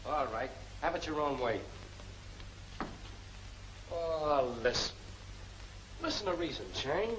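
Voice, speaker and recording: A man's voice: fairly high pitch, lively intonation, takes it slowly, pauses often. An actor playing a cocky gangster henchman in an old film serial, with slangy, chummy tough talk that carries menace. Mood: coaxing, menacing. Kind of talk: 1940s film serial acting. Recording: noisy old film soundtrack.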